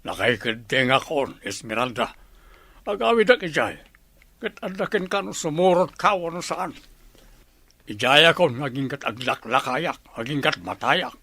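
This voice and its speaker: A man's voice: a little high, expressive, normal speed, sharp tone, no room sound, heavily acted. An actor with an Ilocano Filipino accent playing a resolute middle-aged father set on going home, in a radio drama. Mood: resolute, emotional.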